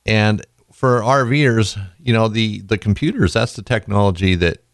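Raspy low-voiced tired man. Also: normal speed, no room sound, clean studio sound. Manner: rambling, folksy, goes off on tangents. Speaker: podcast host. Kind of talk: casual podcast talk.

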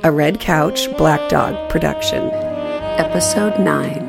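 Slow, low woman announcer over loud guitar. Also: creaky. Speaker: podcast announcer. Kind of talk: scripted show intro.

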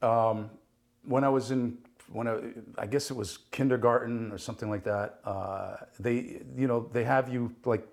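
A man's voice: medium pitch, steady tone, moderate pace, gravelly, a little mellow. Italian-born man chatting loosely. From Italy. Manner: rambling, many fillers, laughs often. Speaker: guest. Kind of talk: casual interview chat.

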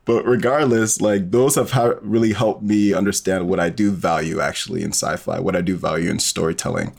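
A man's voice: sits low, steady tone, fairly quick, creaky, darkish tone, husky. A man as a podcast guest, talking casually and rambling with many fillers. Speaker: podcast guest, audio drama creator. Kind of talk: casual interview conversation.

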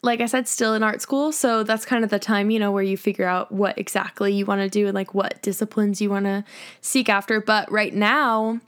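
A woman's voice: medium pitch, fairly even intonation, fairly quick, clean tone. A woman of twenty co-hosting a podcast in casual chat, bubbly and giggly. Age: 20s. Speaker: podcast co-host, illustrator.

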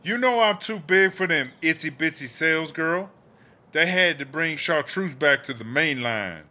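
A man's voice: a little high, lively intonation, normal speed, pushed and bright. A man playing a swaggering, sassy female American inmate in a comedy audio drama, drawling and boastful. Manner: drawling, boastful, third-person self-references.

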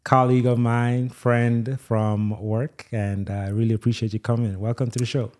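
A man's voice: medium pitch, level tone, moderate pace, darkish tone. A man from Nigeria hosting a podcast as a doctor, chatting in a conversational interview style.

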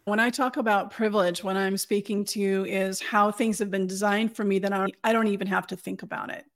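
A woman guest on a podcast, answering in an earnest and well-spoken way. Her voice sits at a middle pitch, and she talks in a steady, measured manner. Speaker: communications consultant, podcast guest. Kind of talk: conversational interview answers.

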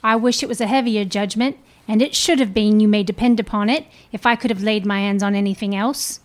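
A woman's voice: mid-range voice, fairly even intonation, fairly quick, lightly acted. A woman playing a brazen, defiant Victorian thief in a live dramatic reading. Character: brazen, defiant Victorian thieving woman selling a dead man's goods.

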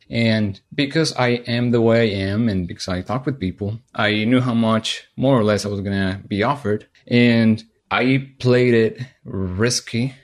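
A man, a software engineer, speaking candidly and confidently as a podcast guest telling his career story. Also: fairly low pitch. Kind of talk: podcast interview.

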